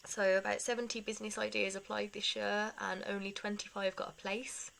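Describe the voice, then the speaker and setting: A woman's voice: medium pitch, flat delivery, brisk, vocal fry, a bit bright, a little hiss or hum, low-quality recording. A young woman vlogger giving a casual life update, rambling with self-deprecating asides.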